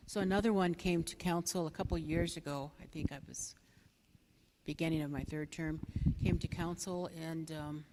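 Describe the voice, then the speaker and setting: Middle-aged female candidate at a community forum, soft lowish voice, halting and plain-spoken, reverberant hall. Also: a little hiss or hum. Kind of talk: unscripted candidate forum answer. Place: bingo hall, public forum over a PA. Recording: echoey hall with long reverb.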